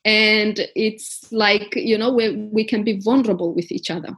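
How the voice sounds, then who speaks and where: A woman's voice: medium pitch, fairly even intonation, moderate pace, fairly bright tone, breathy edge. A woman from Africa, founder of a community garden, telling her story earnestly in an interview, with many fillers and restarts.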